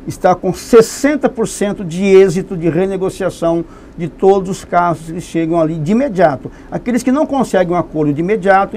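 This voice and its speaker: A man with a high, mellow voice speaks in a TV studio. He is a consumer protection representative who explains things patiently and leans hard on key points, with a faint hiss of noise behind him. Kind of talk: studio interview answers.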